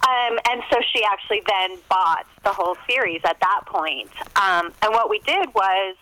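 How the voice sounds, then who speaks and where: A woman's voice: medium pitch, normal speed, bright, forceful tone, a little hiss or hum, rough recording. A woman speaking as an interview guest, an author telling her story in a casual, conversational way.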